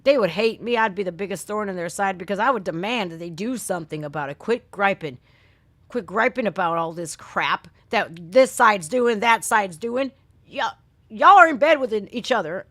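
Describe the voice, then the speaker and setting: A woman's voice: mid-range voice, fairly bright tone, no echo. Female American livestream host. Off-the-cuff ranting, blunt folksy delivery with swearing, mid-range voice, very dry sound. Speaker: reaction video host. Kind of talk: casual livestream rant and chat.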